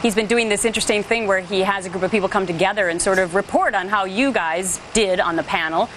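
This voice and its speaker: A woman's voice: mid-range voice, quick pace, a bit bright, a little hiss or hum. An American woman speaking as a television news anchor, putting questions in a broadcast interview.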